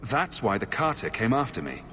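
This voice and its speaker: A man's voice: fairly low pitch, sing-song, moderate pace, a bit bright, a little hiss or hum. A man playing a skeptical, resolute fantasy hero in a video game, speaking in a firm, questioning way. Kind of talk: dramatic video game acting. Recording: long hall reverb.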